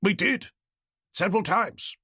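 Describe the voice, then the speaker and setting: Man acting a pompous, clipped British neighbour, pitched up. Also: animated, unhurried, bright, forceful tone, husky, no echo, clean studio sound, full character voice. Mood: exasperated. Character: middle-aged male neighbour, pompous, indignant, clipped and irritable.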